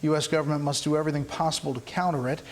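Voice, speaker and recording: A male television reporter with a slightly high voice delivers live news. He moves between reading and explaining on the fly. There is faint noise behind him in the news clip. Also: fairly even intonation, racing.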